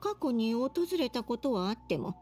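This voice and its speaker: A woman voicing a gentle elderly woman in an anime game, wistful, with old-fashioned grandmotherly phrasing. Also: fairly high pitch, lively intonation, normal speed, slight background noise, close to an everyday voice. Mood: puzzled.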